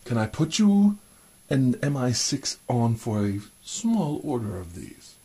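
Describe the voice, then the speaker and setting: A man's voice: mid-range voice, very animated, takes it slowly, mellow, slightly rough, exaggerated voice. A man playing a chummy, genteel American spy gadget supplier disguised with a put-on British accent, reminiscing in old-fashioned phrases in an improvised roleplay. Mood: chummy, fond. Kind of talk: improvised one-sided spy roleplay, addressed to the listener.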